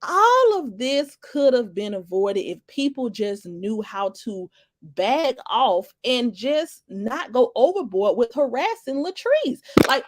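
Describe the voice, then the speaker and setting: A woman's voice: a little high, no echo. Chatty woman streaming live. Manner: rambling, frequent restarts and fillers, emphatic. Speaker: livestream commentator. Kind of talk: casual live commentary, greeting viewers in chat.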